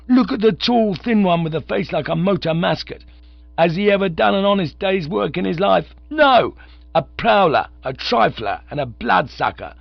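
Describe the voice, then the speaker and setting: A scornful bearded street orator yelling at the top of his lungs, high and loud, as a man acts him out. His voice has a gravelly, husky grain and leaps about wildly. The recording is dull and dry. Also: dry recording, exaggerated voice. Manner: shouting, ranting, scornful. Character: bearded male street-corner agitator, ranting.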